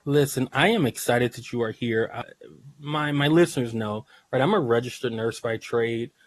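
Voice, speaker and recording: A man hosting a healthcare podcast, reading a smooth scripted intro and then chatting casually, with some stumbles and repeated words. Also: medium pitch, normal speed, no echo. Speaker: podcast host, nurse.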